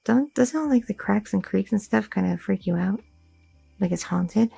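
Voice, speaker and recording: A woman's voice: mid-range voice, expressive, moderate pace, vocal fry, dark tone, husky, faint music behind, exaggerated voice. A woman voicing a curious, chatty young girlfriend in an ASMR roleplay, rambling with many fillers and nervous questions. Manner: rambling, inquisitive, filler-heavy talk.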